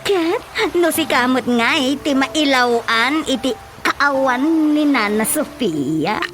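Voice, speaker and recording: High-pitched, teasing Ilocano village woman. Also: animated, slow pace, fairly bright tone, heavily acted. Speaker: radio drama actor. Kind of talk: comic radio drama acting. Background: prominent music.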